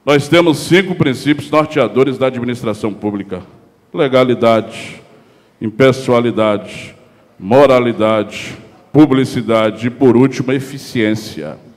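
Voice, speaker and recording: A man's voice: mid-range voice, measured pace. Oratorical man at a council lectern. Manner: oratorical, rhetorical questions, emphatic lists; gestures while speaking at a lectern. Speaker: city councillor. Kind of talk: formal political speech. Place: council chamber, indoors. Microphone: handheld gooseneck lectern microphone. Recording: long reverb of a chamber hall.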